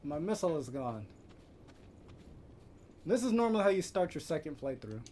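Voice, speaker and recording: A man's voice: fairly high pitch, sing-song, moderate pace, dark tone, slight background noise. A man giving casual live reactions as he streams a video game.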